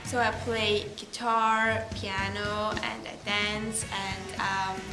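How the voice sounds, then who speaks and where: A woman's voice: mid-range voice, flat delivery, slow pace. A teenage girl from Belarus, a native Russian speaker, answering interview questions in English with many fillers and restarts. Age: teens. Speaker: exchange student. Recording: echoey room with music behind.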